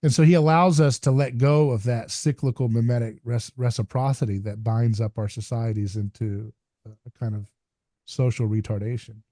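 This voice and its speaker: A man's voice: mid-range voice, fairly even intonation, normal speed, warm tone, no room sound, studio quality. A man hosting a conversation podcast, talking in an earnest, emphatic, somewhat preachy way. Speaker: podcast host. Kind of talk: casual conversation.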